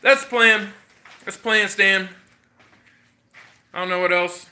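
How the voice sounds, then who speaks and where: A congested man casually chatting on a livestream as host, showing his workshop and rambling in a relaxed way with slang. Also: sits high, unhurried, clear, resonant tone. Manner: rambling, laid-back, with fillers and slang. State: congested and coughing. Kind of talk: casual livestream chat while showing a build. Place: home workshop or garage.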